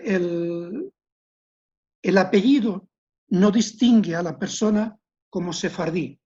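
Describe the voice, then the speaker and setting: A woman giving an explanatory history lecture as a webinar guest, speaking in a measured way with pauses and restarted words. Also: medium pitch, mellow, muffled recording. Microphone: computer mic on a video call.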